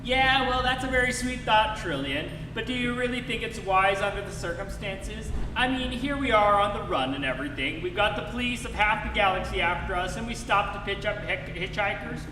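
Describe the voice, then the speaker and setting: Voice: medium pitch, a little fast, hardly any pauses, background noise, lightly acted. An actor playing an impatient male space fugitive in a live radio play, breezy and sarcastic. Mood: sceptical, flippant. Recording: distant mic, echoey hall, music behind.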